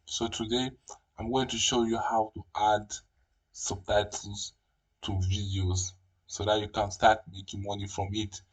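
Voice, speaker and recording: A man's voice: lowish voice, little pitch movement, a little slow, far from the mic, low-quality recording. A man whose first language is French, talking through a screen tutorial with many fillers and restarts. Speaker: tutorial host. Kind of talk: casual screen-recorded tutorial.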